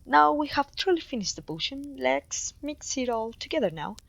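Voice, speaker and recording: A woman voice acting a clumsy, kindly, apologetic witch in an ASMR fantasy roleplay, with a gentle, polite, slightly old-fashioned way of talking. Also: sits high, big pitch swings, moderate pace, vocal fry, exaggerated voice. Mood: pleased, encouraging.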